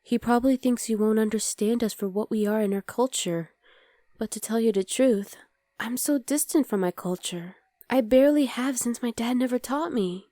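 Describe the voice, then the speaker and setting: A woman playing a young demon girlfriend in an ASMR roleplay, sweet but exasperated and rebellious, speaking intimately and softly to the listener as her partner. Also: on the high side, normal speed, no room sound, clean studio sound, close to an everyday voice. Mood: resentful, confiding. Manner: intimate, soft, often dropping to a whisper.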